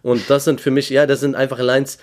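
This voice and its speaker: Slangy young podcast co-host. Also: male voice, medium pitch, flat delivery, brisk. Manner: loose and slangy, hesitant, restarts often. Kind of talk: casual chat about rap music.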